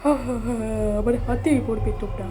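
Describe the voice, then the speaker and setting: A woman's voice: fairly high pitch, fairly quick, dark tone, slight background noise, lightly acted. A woman voicing a menacing, taunting witch ghost who devours travellers in a cartoon story. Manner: threatening, taunting, scolding.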